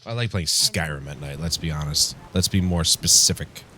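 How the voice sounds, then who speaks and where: A man hosting a casual gameplay commentary, rambling and wryly griping, his voice congested and strained from yelling. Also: extremely low pitch, normal speed, faint music behind. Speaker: gaming show host. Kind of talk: casual let's play commentary. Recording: clear background noise.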